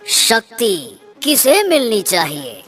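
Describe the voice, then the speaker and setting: Magical living sword, voiced by a woman: stern riddling guardian, declamatory and unhurried, animated fairy-tale style, music underneath. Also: fairly high pitch, heavily acted. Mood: stern, warning. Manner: declamatory, deliberate. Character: enchanted talking sword, stern ancient guardian posing riddles. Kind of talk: animated children's story dialogue.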